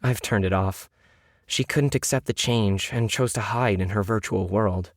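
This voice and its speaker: A man voicing a grave, resigned woman doctor in a science fiction audiobook, measured and matter-of-fact. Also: lowish voice, dry recording, clean studio sound, lightly acted. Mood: grave, certain. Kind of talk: audiobook character dialogue.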